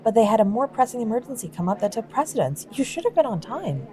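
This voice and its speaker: A woman playing a brisk, overworked receptionist in an audio roleplay, matter-of-fact and politely firm. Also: fairly high pitch, very animated, mellow. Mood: apologetic but firm.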